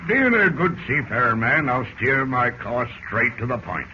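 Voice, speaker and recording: Salty, threatening peg-legged sailor, very forceful delivery, vintage radio with some noise. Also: male voice, medium pitch, very animated, measured pace, full character voice. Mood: brash, insistent. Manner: salty seafaring talk, menacing insistence. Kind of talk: 1940s radio drama acting. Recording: muffled, noisy old radio recording.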